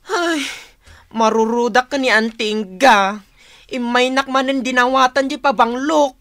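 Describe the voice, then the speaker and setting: A woman's voice: high-pitched, measured pace, fairly bright tone. A woman playing an exasperated younger sibling who keeps house in a rural family, in a radio drama with an Ilocano accent.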